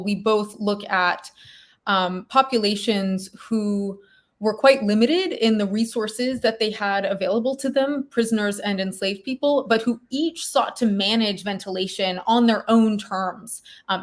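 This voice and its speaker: Thoughtful woman explaining research. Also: medium pitch. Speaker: guest, historian. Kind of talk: conversational academic explanation.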